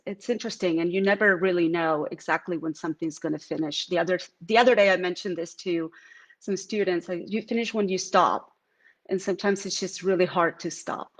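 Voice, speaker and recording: A middle-aged woman with a Venezuelan accent speaks reflectively and casually in a live talk from her art studio. She talks with a lot of fillers and restarts, and her pitch sits at a middle level. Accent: Venezuelan Spanish. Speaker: artist. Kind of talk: live-streamed artist talk.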